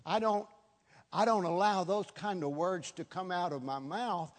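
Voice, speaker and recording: A man's voice: high-pitched, a little slow. A man in his seventies preaching a charismatic sermon, repeating phrases for emphasis. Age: 70s. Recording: echoey hall with long reverb.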